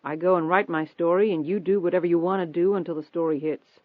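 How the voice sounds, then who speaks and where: A man voicing a persistent, confident newspaper reporter in an audiobook, steady, probing and insistent. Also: fairly high pitch, brisk, no echo, natural voice. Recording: thin phone-like band-limited audio.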